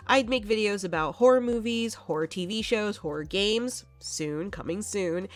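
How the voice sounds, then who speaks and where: A young woman hosting a casual horror movie vlog, chatty and quick to laugh, sounding a bit congested from allergies. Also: lowish voice. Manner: chatty, laughs often, many fillers.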